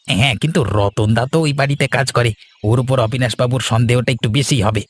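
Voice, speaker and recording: Man acting a fast-talking, sly village schemer. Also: mid-range voice, steady tone, lightly acted. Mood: sly, eager. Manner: eager, conspiratorial. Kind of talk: Bengali cartoon voice acting.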